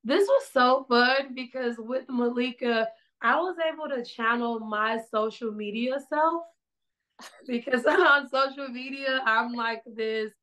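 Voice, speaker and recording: A young woman talks on a video call from a small room, casual and animated, gesturing as she goes. Her voice sits a little high. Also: a little slow, poor audio. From South Side of Chicago. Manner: animated, gestures a lot while talking. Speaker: songwriter guest. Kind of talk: casual interview chat.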